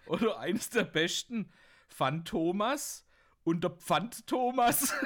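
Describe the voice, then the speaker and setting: A man's voice: sits high, fairly bright tone. A young man telling rambling anecdotes casually, often laughing at his own stories. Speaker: podcast host.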